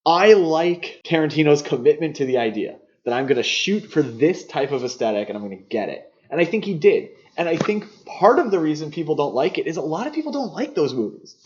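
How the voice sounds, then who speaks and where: A man's voice: a little high, big pitch swings, brisk, few pauses, darkish tone, slight background noise. A man co-hosting a podcast, talking casually and giving his opinions on films in a conversational way. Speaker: podcast co-host. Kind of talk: casual film discussion. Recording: slightly dull lo-fi podcast audio.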